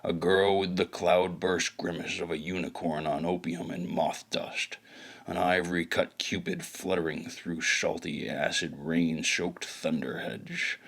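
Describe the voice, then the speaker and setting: Very deep hardboiled noir detective, acted by a man. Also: a little slow, vocal fry, heavily acted. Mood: brooding. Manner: brooding, deadpan. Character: hardboiled, wisecracking male noir private eye. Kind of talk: noir audio drama narration and dialogue.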